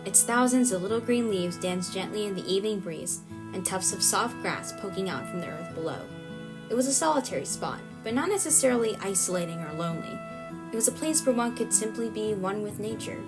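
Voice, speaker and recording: A woman narrates a scripted short story in an audio drama. Her voice sits at an average pitch for a woman, with music clearly playing behind it. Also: brisk.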